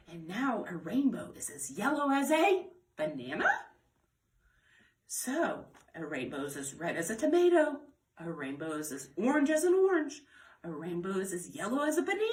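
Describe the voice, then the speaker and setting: A woman presenting a children's lesson in a sing-song way, speaking directly to young viewers and prompting them as she goes. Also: medium pitch. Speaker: children's storytime presenter at a library. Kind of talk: children's lesson with repetition and demonstration.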